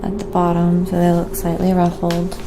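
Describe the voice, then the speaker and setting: A soft-spoken woman chatting quietly and casually while painting on an ASMR live stream, often murmuring to herself. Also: on the low side, completely flat delivery, normal speed, background noise.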